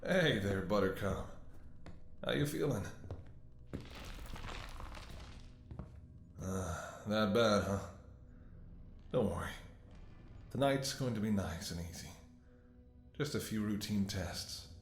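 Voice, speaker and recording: A man's voice: on the low side, expressive, measured pace, long pauses, echoey room. A man playing a weary, devoted doctor in an intimate ASMR audio roleplay, speaking tenderly and soothingly to an ailing lover. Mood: gently caring. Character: weary, devoted male doctor tending an infected lover in apocalypse. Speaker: voice actor.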